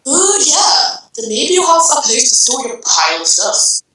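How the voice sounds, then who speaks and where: A woman voicing a snide, teasing female character in an amateur comic dub, with drawn-out mocking delivery. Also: sits high, sing-song, bright, forceful tone, very echoey, a little hiss or hum, voice pushed up, exaggerated voice, rough recording.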